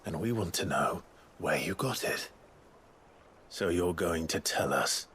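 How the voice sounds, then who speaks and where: An actor playing a stern, dry-witted fantasy warrior leader in a game cutscene, terse and commanding. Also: male voice, low-pitched, lively intonation, a little slow, a bit bright, slight background noise. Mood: threatening, cool. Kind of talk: acted game cutscene dialogue.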